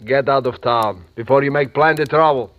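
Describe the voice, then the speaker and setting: Aged mining old-timer, male actor, sly and guarded, rough grammar, quietly threatening, muffled old radio play. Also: medium pitch, moderate pace, very noisy, close to an everyday voice. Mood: guarded, warning. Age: older. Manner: broken grammar, terse, sly chuckling delivery. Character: cagey old-timer male mine foreman, gruffly menacing. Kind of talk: 1940s radio drama acting.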